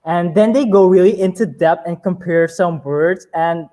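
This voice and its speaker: A man's voice: high-pitched, moderate pace, dark tone. A man hosting a podcast, chatting enthusiastically with frequent affirmations and filler words. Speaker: podcast host. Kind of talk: casual interview chat.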